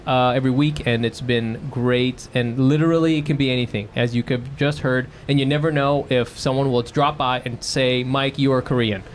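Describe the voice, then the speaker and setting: A man's voice: mid-range voice, fairly even intonation, normal speed, few pauses, a little hiss or hum. A man co-hosting a podcast, chatting casually and joking, often laughing. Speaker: podcast co-host. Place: live show with audience.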